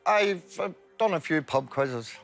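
A man's voice: a little high, animated, measured pace, fairly bright tone, faint music behind. A Northern Irish man in his sixties, a quiz show contestant answering questions and chatting hesitantly, with frequent "uh"s. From Northern Ireland. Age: 60s. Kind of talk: studio quiz answers and chat.

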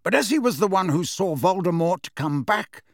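A man's voice: sits high, animated, normal speed, vocal fry, no room sound, studio quality, pitched above the performer's own voice. A man playing an impatient, proud, defiant godfather in a storybook, speaking curtly. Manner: curt, impatient.

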